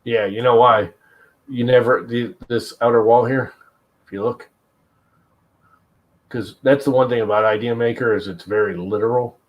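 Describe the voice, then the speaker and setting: A man's voice: medium pitch, fairly even intonation, normal speed, long pauses, muffled recording. A man streaming and chatting casually while preparing a 3D print, rambling and swearing as he tells stories.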